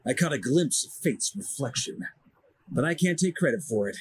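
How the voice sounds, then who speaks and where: An actor playing a seasoned, confident expedition commander in a fantasy game, speaking in an assured, measured way. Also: male voice, mid-range voice, expressive, a little hiss or hum, natural voice. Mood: wry, reflective. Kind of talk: fantasy video game dialogue acting.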